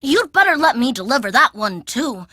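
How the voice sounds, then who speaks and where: A woman's voice: sits high, big pitch swings, moderate pace, pushed and bright, no room sound, clean studio sound, exaggerated voice. A woman voicing a sugary, eager-to-please ten-year-old farm girl in a video game, gushing and pleading. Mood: earnest, coaxing. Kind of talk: video game character dialogue.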